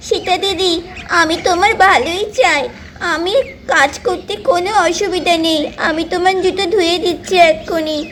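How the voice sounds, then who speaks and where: Woman acting a very high-voiced, gentle doll maid. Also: fairly even intonation, moderate pace, a little hiss or hum. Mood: caring, pleading. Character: gentle, caring, servile young doll housemaid. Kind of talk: children's doll-play cartoon voices.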